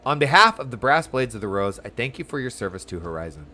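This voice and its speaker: A man's voice: medium pitch, big pitch swings, fast talker, sharp tone. A man reading aloud a formal, deferential guard officer from a fantasy game. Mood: self-important.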